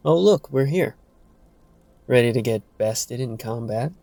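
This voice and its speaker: A man's voice: medium pitch, expressive, unhurried, gravelly, mellow, dry recording, voice pushed up. Cocky young fantasy warrior, played by a male narrator: playful needler, mid-range voice lifted from the narration, dry close sound. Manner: playful, taunting. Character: cocky teasing young male fledgling swordsman. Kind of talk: dark fantasy audiobook character dialogue.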